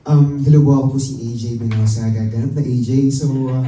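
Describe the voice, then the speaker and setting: A Filipino man gives a casual, polite answer, feeling his way hesitantly. He is slightly far from the mic in a roomy space, with a clear crowd behind him. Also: medium pitch, steady tone, fast. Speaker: stage actor.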